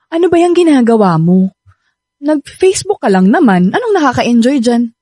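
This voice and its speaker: A woman's voice: fairly high pitch, very animated, moderate pace, mellow, no room sound. An actress playing a sassy, guarded young woman in a radio drama, teasing and brusque in manner. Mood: mildly exasperated. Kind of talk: Filipino radio drama acting.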